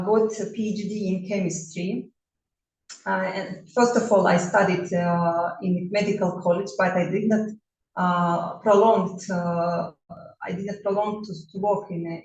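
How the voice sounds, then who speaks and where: Russian lady in her 40s, slow halting english, lots of uhs, far from the mic. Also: medium pitch, darkish tone. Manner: halting non-native English, many fillers and restarts. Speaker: bodywork therapist. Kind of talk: self-introduction talk. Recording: roomy echo, mic at a distance.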